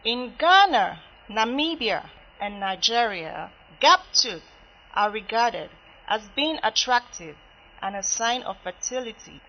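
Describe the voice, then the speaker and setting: A woman reading a scripted news voice-over in a measured, explanatory way. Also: fairly high pitch, very animated. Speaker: news reporter. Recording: slightly dull lo-fi broadcast audio.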